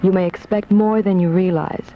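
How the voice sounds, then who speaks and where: A woman with a low voice reads out a script as a radio astrologer. The recording is dull, with faint noise, like 1970s AM radio. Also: fairly even intonation, moderate pace. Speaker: radio show astrologer guest. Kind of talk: scripted horoscope reading. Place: radio studio.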